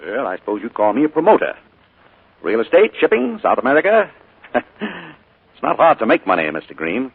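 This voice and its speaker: An actor playing a smooth, evasive middle-aged uncle in a 1940s radio drama, on a tinny old broadcast recording. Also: male voice, mid-range voice, huge pitch swings, a little fast, pauses often, heavily acted. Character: charming sinister uncle, middle-aged man.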